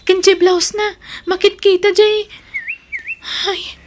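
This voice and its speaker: Very high-pitched worried Filipino child. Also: female voice, little pitch movement, slow. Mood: curious, troubled. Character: innocent, worried young child of quarrelling parents. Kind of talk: Ilocano radio drama acting. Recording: noisy radio drama recording.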